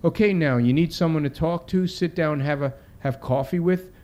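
A man's voice: on the high side, moderate pace, mellow, voice pushed up, natural voice. A man voicing a young, streetwise ex-addict caller, earnest and preachy, pep-talking in slangy street rap. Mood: urgent, encouraging.

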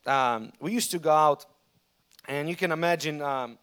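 A male preacher with a Russian accent, high in pitch for a man. He preaches a sermon and slips into animated storytelling, restarting phrases as he goes. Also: moderate pace, smooth. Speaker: pastor.

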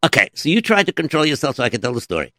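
A man's voice: medium pitch, sing-song, rapid-fire, fairly bright tone, dry recording, studio quality. A man hosting a comedic children's story show, patient and dryly teasing toward his sidekick. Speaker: storyteller host.

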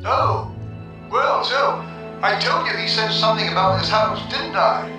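An actor playing a grave, pompous ghost-hunting doctor with formal, halting speech in a 1940s radio drama. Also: male voice, high voice, recorded from a distance, music in the background. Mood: tense. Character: grave, pompous male ghost hunter doctor, sensitive and foreboding. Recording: echoing 1940s radio recording, walkie-talkie from afar.

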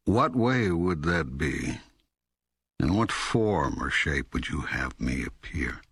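An older man playing a patient, all-knowing God in an audiobook dialogue, speaking in a deliberate, emphatic, teaching manner. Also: a bass voice, very animated, a bit bright, slightly rough, dry recording, exaggerated voice. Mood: patient, explaining.

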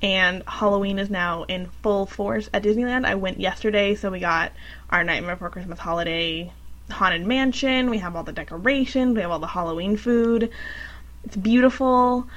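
A young woman in her twenties co-hosting a casual podcast, gushing in an upbeat way with soft laughs. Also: medium pitch, moderate pace, muffled recording. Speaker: podcast co-host. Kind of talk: casual chat.

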